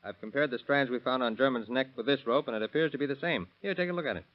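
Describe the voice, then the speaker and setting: An actor playing a diligent, plain-spoken sheriff's deputy, folksy and matter-of-fact, in a 1930s radio crime drama. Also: male voice, mid-range voice, fast talker, lightly acted. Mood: earnest. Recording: dull, muffled vintage radio recording.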